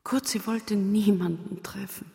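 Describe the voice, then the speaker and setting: An actress playing an older grieving, protective widow in a radio play, with a creaky voice and a plain, firm, weary manner. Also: female voice, mid-range voice, fairly even intonation, a little slow, dark tone. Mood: quiet grief. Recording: long reverberant hall.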